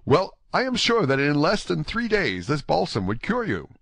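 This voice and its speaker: Male reader as bold young storybook gallant: higher-pitched, earnest, very polite, animated intonation. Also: normal speed, vocal fry, no room sound, studio quality, heavily acted. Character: eager, courteous, bold young Gascon gentleman. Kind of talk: audiobook character dialogue.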